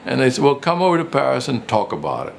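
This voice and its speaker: A man's voice: mid-range voice, sing-song, fast, creaky, slight background noise. An older man, a retired professor and writer, reminiscing casually in an interview, rambling and repeating phrases for emphasis.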